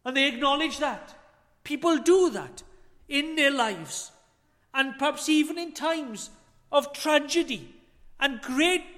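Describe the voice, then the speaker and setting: A man preaching a chapel sermon, earnest, with rhetorical questions and swells and drops in loudness. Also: extremely high pitch. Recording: long hall reverb.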